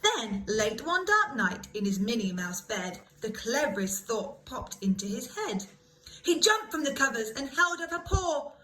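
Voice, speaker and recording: A woman reading a children's story aloud in an expressive, dramatic way, like a primary school teacher. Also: fairly high pitch, measured pace, rough recording. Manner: animated, dramatic emphasis.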